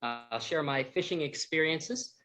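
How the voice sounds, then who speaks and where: Halting man giving a personal testimony. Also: fairly high pitch, sharp tone. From United States. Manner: plain, halting, with frequent restarts. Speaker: presenter at an online church forum. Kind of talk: personal testimony talk with slides.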